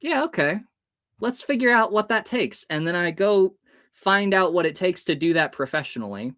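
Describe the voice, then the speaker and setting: A man's voice: sits high, expressive, normal speed, a bit bright. An American man in his early thirties telling personal stories as a podcast guest, expressively acting out bits of dialogue as he goes. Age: early 30s. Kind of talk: animated personal storytelling.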